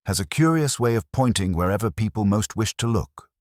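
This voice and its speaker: A man's voice: lowish voice, animated, fairly quick, dry recording, studio quality, pitched above the performer's own voice, close to an everyday voice. A man voicing a mild, dryly witty police inspector in a mystery story, with an understated, formal way of talking.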